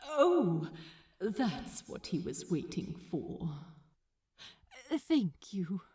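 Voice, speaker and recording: A gentle, bookish man from a romantic story, acted out by a woman. He is polite and easily flustered, speaking in breathy, hesitant phrases that swing up and down in pitch. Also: sing-song, very slow, roomy sound, exaggerated voice.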